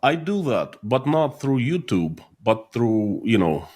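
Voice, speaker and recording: A middle-aged man from Russia with a Russian accent, answering interview questions in a halting way with many fillers and restarts. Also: mid-range voice, big pitch swings, a little mellow. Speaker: guest, YouTuber.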